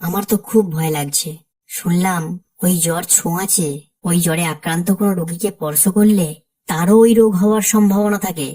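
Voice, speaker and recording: A woman's voice: fairly low pitch, steady tone, fairly quick, warm tone, close to an everyday voice. A woman voicing a frightened young village man in a cartoon, pleading for help. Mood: fearful. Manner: fearful, pleading.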